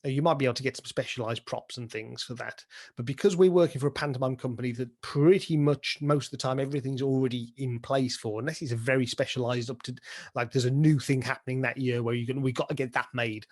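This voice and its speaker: A man's voice: mid-range voice, fairly even intonation, fast. Chatty British man explaining things step by step. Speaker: pantomime dame and director, interview guest. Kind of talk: casual interview explanation.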